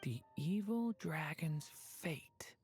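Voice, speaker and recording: An actor playing a gentle, earnest young dragon boy in a video game, speaking softly and hesitantly. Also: mid-range voice, animated, very slow, soft background music. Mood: earnest, unsure.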